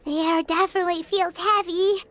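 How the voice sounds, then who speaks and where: Very high squeaky little companion. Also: female voice, steady tone, normal speed, fairly bright tone. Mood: anxious. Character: tiny, excitable, childlike female companion. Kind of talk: video game voice acting. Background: background music.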